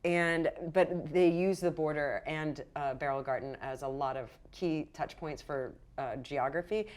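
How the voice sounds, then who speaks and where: A woman improvising narration as a game master in a casual, animated, rambling way. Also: deep voice, measured pace. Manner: animated, rambling, acts out characters' lines.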